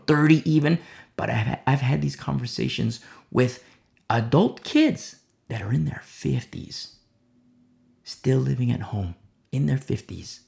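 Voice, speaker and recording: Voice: medium pitch, gravelly. A preacher delivering a sermon, with rhetorical questions and a repeated "you see?".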